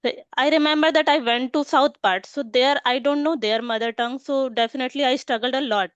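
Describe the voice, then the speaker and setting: Woman learner, slightly high, smooth voice, dull lo-fi call audio, hesitant. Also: no echo. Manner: hesitant, many "uh" pauses. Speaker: English learner. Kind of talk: speaking practice answers.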